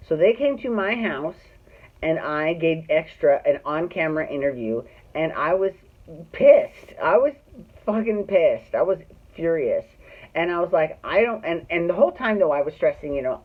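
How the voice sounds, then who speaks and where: A middle-aged person with a Californian accent telling personal stories casually, in a catty, dramatic and sweary way. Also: medium pitch. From Glendale, California, United States. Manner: catty, dramatic, profane, acts out dialogue. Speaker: storyteller host of own show. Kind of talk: casual personal storytelling to camera. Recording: muffled phone-like narrow-band audio.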